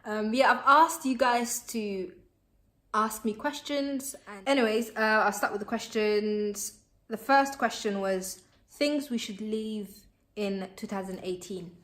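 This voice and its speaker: A woman's voice: mid-range voice, unhurried, a bit bright. A young woman, a Somali speaker, chatting to camera as a vlogger in a bubbly, chatty way with many fillers. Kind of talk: casual chat to camera.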